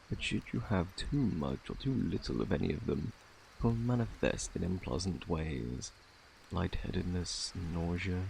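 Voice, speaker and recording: Deep, creaky British plague doctor played by a man. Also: a little hiss or hum, exaggerated voice, poor audio. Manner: formal, gentle, reassuring, old-fashioned wording. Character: courteous, scholarly male plague doctor examining a patient. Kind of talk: ASMR roleplay, soft-spoken. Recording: faint night insect ambience.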